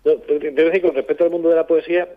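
A man, speaking reflectively as an interview guest, halting as he searches for words. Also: on the high side, fairly even intonation, phone-quality sound. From southern Spain. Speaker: poet and songwriter guest.

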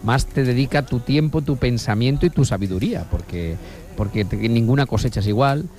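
Man, radio host, lively, repeats himself, interjects, mid pitch. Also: brisk, faint music behind. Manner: lively, repeats phrases, chimes in often. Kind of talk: radio talk show.

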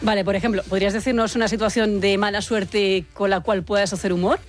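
A fast-talking radio presenter leads a live interview. The pitch sits mid-range, with brisk questions and short acknowledgements, over faint music. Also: level tone. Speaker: radio show host.

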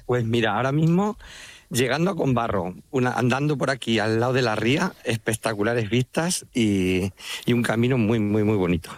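A man with a Manchegan Spanish accent chatting as an interview guest, enthusiastic, halting and often repeating himself. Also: medium pitch, no room sound. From Castilla-La Mancha, Spain. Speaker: interview guest, walking pilgrim guide. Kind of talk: casual interview talk. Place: outdoors, walking along a trail by an estuary.

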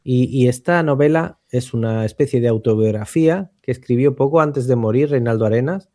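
A man's voice: medium pitch, a little fast, mellow, no room sound, clean studio sound. A man from explains literature conversationally, searching for words and often checking for agreement. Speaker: literary commentator.

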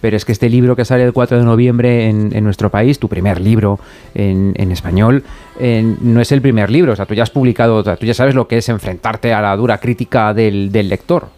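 A man's voice: on the low side, steady tone, fairly quick, warm tone. A man with an accent from Spain hosting a radio interview in a casual style. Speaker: radio host.

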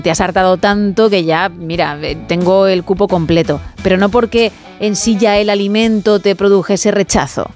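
A woman's voice: mid-range voice, steady tone, moderate pace, few pauses, darkish tone, faint music behind. A middle-aged woman with a Spain Spanish accent, hosting a casual late-night radio phone-in in a chatty, playful way. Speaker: radio host. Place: radio studio. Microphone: studio microphone.

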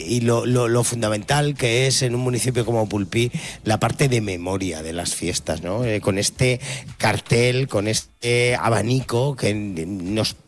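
A man hosting a local radio interview, full of pauses and restarts as he asks his questions. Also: mid-range voice. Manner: hesitant, many fillers and restarts, asks questions. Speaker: radio host.

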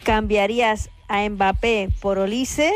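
A Spanish woman briskly reports listener poll numbers on a sports radio show. Faint music sits under her voice. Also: mid-range voice, fairly even intonation. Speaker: radio co-host reading poll results. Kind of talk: radio chat.

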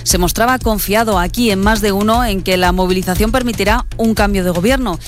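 Fast, high-pitched male news presenter. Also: a little hiss or hum. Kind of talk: scripted news reading.

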